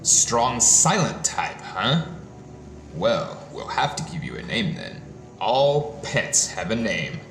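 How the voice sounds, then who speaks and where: Man playing a smug, slow-talking rich villain. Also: on the low side, big pitch swings, gravelly, full character voice. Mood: smug, taunting. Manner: slow, taunting, mocking, condescending. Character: smug rich male collector gloating over his captive. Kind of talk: theatrical audio drama villain acting. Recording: echoey room with music underneath.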